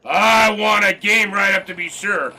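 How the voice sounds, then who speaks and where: An actor playing a blustery, scatterbrained middle-aged newspaper boss in a period radio drama, booming and enthusiastic. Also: male voice, measured pace, pushed and bright, exaggerated voice, poor audio. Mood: cheerfully bossy. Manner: booming, enthusiastic, careless with details.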